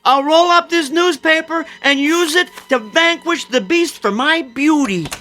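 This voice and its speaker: An actor in a radio drama playing a middle-aged, henpecked amateur inventor, fussy and excitable, given to muttering and meek stammering. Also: male voice, very high-pitched, measured pace, soft background music, exaggerated voice. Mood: alarmed. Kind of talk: comic radio drama acting.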